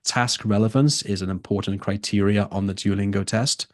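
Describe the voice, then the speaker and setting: A man's voice: on the low side, steady tone, normal speed, no echo, clean studio sound. A man who tutors for a test, teaching a live online lesson and repeating his key points for emphasis.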